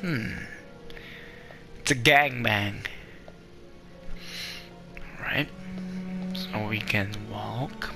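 Young Flemish guy, Dutch native, slow gravelly let's-play commentary, game music under. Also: on the low side. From Belgium. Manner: unhurried, hesitant, apologetic. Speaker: gaming YouTuber.

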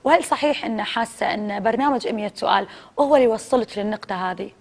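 A woman co-host questions her guest quickly and directly in a television studio. Her pitch is middle for a woman, her pace lively. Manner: asks brisk, direct questions. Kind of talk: studio interview. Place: TV studio set.

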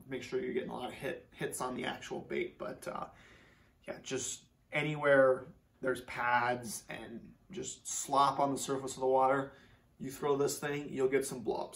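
Young male host, relaxed filler-heavy explainer, mic set back in a garage. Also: mid-range voice, vocal fry, far from the mic, slight background noise. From Minnesota. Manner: relaxed, many fillers, repeats words for emphasis. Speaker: fishing channel host. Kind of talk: casual tackle walkthrough. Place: garage workshop, seated indoors. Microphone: camera a few feet away.

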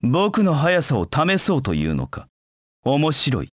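An actor voicing a proud, cold dark knight in a video game, with curt, haughty, disdainful delivery. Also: male voice, a little high, huge pitch swings, unhurried, dry recording, studio quality. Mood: confident, aloof. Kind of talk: video game voice acting in short battle lines.

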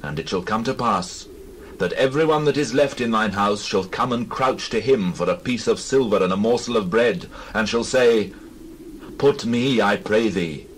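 An actor playing a stern holy prophet, solemn and declamatory, pronouncing God's judgment in a dramatized Bible reading. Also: male voice, fairly low pitch, a little hiss or hum, lightly acted, rough recording.

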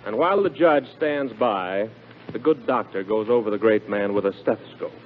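A man's voice: lowish voice, normal speed, over loud music. A man announcing on 1940s radio with a polished, emphatic sales delivery. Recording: narrow-band noisy 1940s radio recording.

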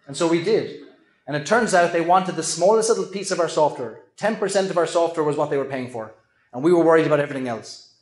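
A middle-aged man giving a conference keynote, earnest and measured, with self-deprecating asides. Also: fairly high pitch. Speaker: keynote speaker. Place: large event hall with an audience. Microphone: handheld microphone at a lectern. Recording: echoey hall.

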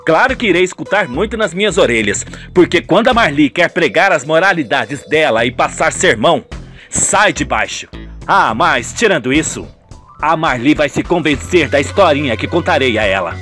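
A man playing a smooth, deceitful adulterous lawyer in a radio soap, glib and self-assured. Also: fairly high pitch, animated, normal speed, a bit bright, soft background music. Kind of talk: radio soap opera acting.

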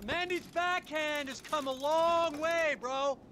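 A man's voice: extremely high pitch, fairly even intonation, slow, pushed and bright, a little hiss or hum, full character voice. An actor playing a smarmy, flaky male tennis coach, placating and bro-ish, in a video game cutscene.

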